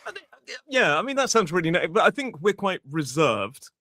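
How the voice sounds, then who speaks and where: A man's voice: high-pitched, sing-song, moderate pace, bright, forceful tone, dry recording. British english teacher guy chatting on a podcast, explains stuff, voice jumps around a lot, dry close sound. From Britain. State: toothache. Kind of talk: conversational podcast chat.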